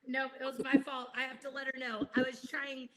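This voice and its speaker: A woman's voice: sits high, level tone, brisk, pushed and bright, a little hiss or hum. A woman moderating an online video-call panel, chatty, with many filler words.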